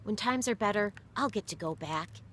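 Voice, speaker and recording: A woman's voice: mid-range voice, expressive, normal speed, fairly bright tone, slight background noise, pitched above the performer's own voice, close to an everyday voice. A woman voicing an earnest young boy who asks questions and stammers when upset in a read-aloud story. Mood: dismayed.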